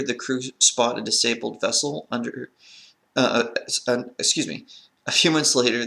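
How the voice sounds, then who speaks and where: A man's voice: medium pitch, gravelly, bright, forceful tone. A man with a crackly voice from a sore throat, talking as an earnest film review host in a casual vlog style.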